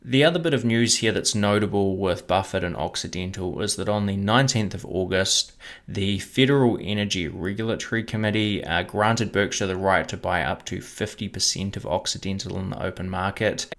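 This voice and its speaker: Young male host, lowish voice, measured explainer read to camera at home. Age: young adult. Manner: measured, explanatory, steady delivery. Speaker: investing channel host. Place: home room with bookshelf, indoors.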